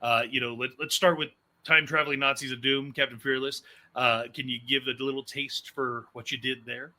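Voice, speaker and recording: A man hosts a casual interview with a very bright, sharp-edged voice. His pitch is average and the talk is conversational. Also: fairly even intonation, a little fast. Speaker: host, voice actor and producer.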